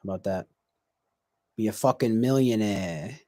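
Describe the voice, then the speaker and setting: A man's voice: lowish voice, moderate pace, mellow, dry recording. A man in his twenties chatting casually on a stream, reflective and rambling, with many fillers and restarts. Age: 20s. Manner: reads viewer comments aloud. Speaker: livestream host.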